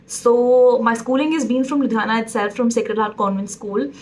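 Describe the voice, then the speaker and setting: A woman's voice: on the high side, fairly even intonation, moderate pace, a little mellow, a little hiss or hum. A woman in her twenties with an Indian English accent, chatting casually in a vlog about herself and mixing in Hindi phrases. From Punjab, India. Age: 20s. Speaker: presenter introducing herself.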